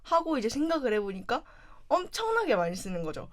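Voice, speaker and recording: A woman's voice: sits high, huge pitch swings, fairly quick. A young woman from Busan with a Busan dialect accent, chatting casually as a radio DJ and filling her talk with small filler words. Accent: Busan Gyeongsang dialect. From Busan, South Korea.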